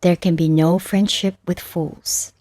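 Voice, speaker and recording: A woman reading scripted spiritual stories and verses as a narrator, in a measured, solemn manner. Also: fairly low pitch, fairly even intonation, dark tone. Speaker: audiobook narrator.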